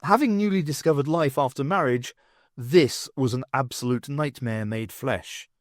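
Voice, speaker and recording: A man reading an audiobook aloud, narrating with an expressive, lively delivery. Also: medium pitch, moderate pace, dry recording, studio quality. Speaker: audiobook reader. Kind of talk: storybook narration.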